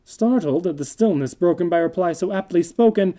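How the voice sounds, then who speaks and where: A man giving a dramatic poetry reading with measured, theatrical delivery. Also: sits high, dark tone. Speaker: poetry reader.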